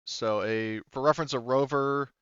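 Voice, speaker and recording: A man's voice: mid-range voice, steady tone, normal speed, a bit bright, clean tone, no echo, dull audio. A man acting as game master, casually explaining tabletop game rules and often stopping himself to double-check. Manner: hesitant, self-interrupting, often double-checking.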